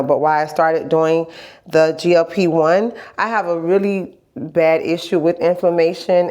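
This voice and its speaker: A woman vlogger chatting casually in a candid, rambling video diary, stuffy from a lingering cold and repeating phrases for emphasis. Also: deep voice. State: stuffy, getting over a cold. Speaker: vlogger sharing health journey.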